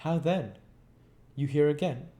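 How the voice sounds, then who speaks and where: A man voicing a curt, suspicious woman in a storybook reading, asking questions in a sharp, snappish way. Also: on the high side, measured pace, voice pushed up, close to an everyday voice. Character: curt, suspicious woman gatekeeper, Miss Sarah Pocket.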